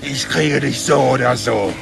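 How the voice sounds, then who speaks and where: An actor playing a taunting, threatening armored pursuer in an audio drama, with a sneering, drawn-out delivery. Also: male voice, mid-range voice, vocal fry. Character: menacing, taunting male pursuer, an armored brute. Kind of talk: German audio drama acting. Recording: loud music over the voice.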